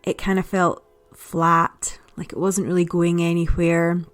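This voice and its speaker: A woman's voice: fairly low pitch, level tone, unhurried. A woman giving a casual spoken review as a podcast listener. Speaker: podcast listener sending in comments.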